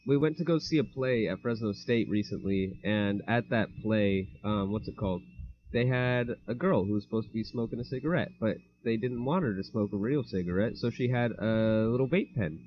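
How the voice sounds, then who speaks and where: A man's voice: fairly low pitch, moderate pace. A young man co-hosting a casual podcast, talking in a laid-back, hesitant way with many fillers. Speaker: podcast co-host. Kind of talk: casual chat. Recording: dull lo-fi mic.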